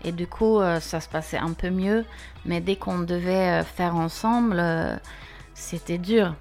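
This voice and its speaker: A woman from Sweden, a guest singer answering radio interview questions in a hesitant, halting way. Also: lowish voice, gravelly, faint music behind. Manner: hesitant, halting, soft-spoken.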